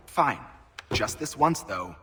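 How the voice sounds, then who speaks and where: An actor playing a reluctant, wary colonial printer with formal, grudging speech in a video game. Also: male voice, mid-range voice, sing-song, slow. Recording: long echo, noisy background.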